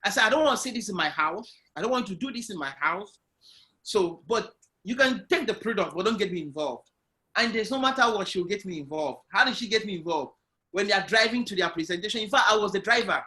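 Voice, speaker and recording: High, bright-voiced man. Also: quick pace. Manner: animated storyteller, loud voice. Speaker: guest entrepreneur. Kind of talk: online interview, personal story. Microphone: video call.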